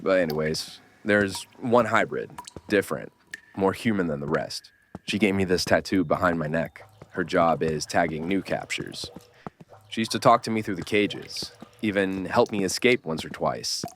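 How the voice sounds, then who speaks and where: An actor playing a gruff, weary survivor with a wry, streetwise way of explaining things, in a horror audio drama. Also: male voice, low-pitched, moderate pace, fairly bright tone, a little hiss or hum. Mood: grim explaining. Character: gruff, weary male survivor of a nightmare dimension.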